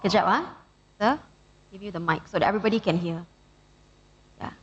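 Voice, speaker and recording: A woman's voice: medium pitch, brisk, husky, lo-fi sound. A Malaysian woman moderating a conference panel informally, in short organising remarks.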